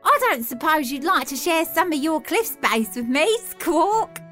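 A woman putting on a high, bubbly voice for a chatty, friendly female bird. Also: big pitch swings, measured pace, soft background music, exaggerated voice. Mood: cheerful. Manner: bright, bubbly, loud squawks.

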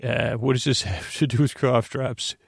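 A man hosting a sleep podcast with a rambling bedtime monologue, meandering and halting, repeating himself and trailing off. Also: medium pitch, fairly even intonation, creaky, husky, no room sound, studio quality.

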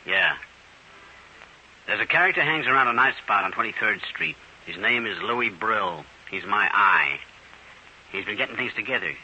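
Low, guarded underworld informant. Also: male voice, lively intonation, normal speed, pushed and bright. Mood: grim, wary. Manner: curt, tough, wary. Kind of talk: old-time radio crime drama acting. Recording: hissy, muffled old radio recording.

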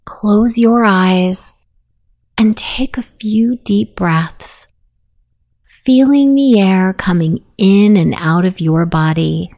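A woman leading a guided meditation in a soothing, hushed manner with long pauses. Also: medium pitch. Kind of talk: guided meditation, self-hypnosis narration.

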